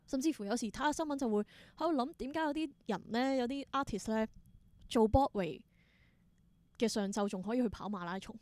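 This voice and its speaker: A woman with a Hong Kong Cantonese accent, telling stories casually as an interview guest, expressive and mixing in English words. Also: fairly high pitch, brisk, dry recording. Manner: expressive, exclaims in wonder, mixes in English words. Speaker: interview guest, theatre performer.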